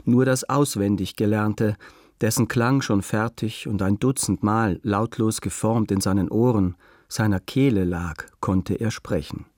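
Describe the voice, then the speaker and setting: A man narrating a literary radio play in short, weighted, halting phrases. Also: lowish voice, a little mellow, no echo, clean studio sound.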